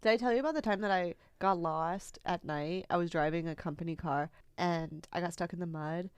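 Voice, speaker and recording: A young American woman co-hosting a podcast in casual chat, animated and informal, prone to giggling. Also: fairly low pitch, a little fast, clean tone, no room sound. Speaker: podcast co-host.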